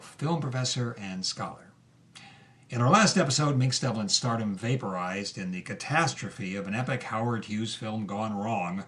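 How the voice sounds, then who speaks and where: An actor playing an earnest, scholarly podcast host, narrating a scripted documentary drama with measured, emphatic phrasing. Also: male voice, medium pitch, fairly bright tone, close to an everyday voice.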